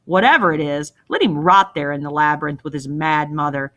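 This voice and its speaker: A woman's voice: deep voice, fairly quick, fairly bright tone, voice pushed down. A woman voicing a proud old king in a storybook read-aloud, scornful and commanding, often mocking with pointed questions. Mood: mocking, persuasive. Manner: imperious, mocking, probing with questions.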